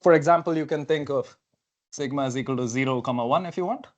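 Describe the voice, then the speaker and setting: A man's voice: medium pitch, fast. A young man whose first language is not English, giving a technical seminar talk at a whiteboard in a lecture hall, casual and thinking aloud with frequent okays and fillers, on a lapel mic. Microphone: clip-on lapel mic.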